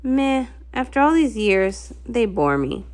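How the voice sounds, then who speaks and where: A woman's voice: a little high, sing-song, slow, darkish tone. A woman voicing a haughty, spiteful pony sorceress villain in a storybook, with a sneering, taunting manner. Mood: scornful.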